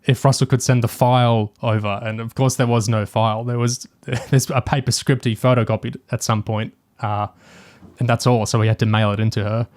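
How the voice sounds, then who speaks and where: A man's voice: mid-range voice, little pitch movement, a little fast, a little mellow. A man co-hosting a podcast, talking casually and analytically with a fan's enthusiasm. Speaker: podcast co-host. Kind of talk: casual discussion of audio drama and TV.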